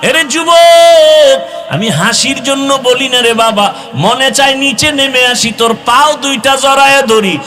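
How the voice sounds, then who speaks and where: Male Islamic preacher, Bangladeshi accent, sharp and forceful, impassioned chanting delivery, long echoing reverb. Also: extremely high pitch. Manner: impassioned, chanting, drawn-out exhortations. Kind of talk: waz sermon to young people. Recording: long hall reverb with music behind.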